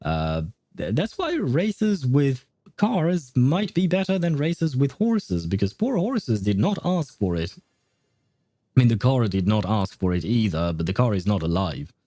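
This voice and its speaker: A man's voice: mid-range voice, sing-song, measured pace, dark tone, no echo. A man livestreaming a game, chatting casually and thinking aloud in a hesitant, chuckly way. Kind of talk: casual livestream chat and commentary.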